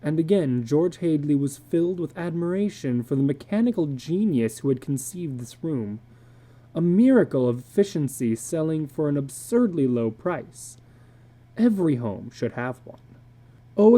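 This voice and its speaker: A woman narrating a literary story as a dramatic reading, expressive and deliberate in her delivery. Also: low-pitched.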